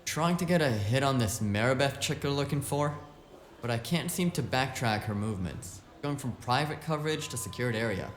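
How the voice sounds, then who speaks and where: A man's voice: mid-range voice, lively intonation, moderate pace, lightly acted. A man playing a wisecracking, streetwise hacker sidekick in a cyberpunk audio drama, talking in a casual, slangy, flippant way. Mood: focused, musing.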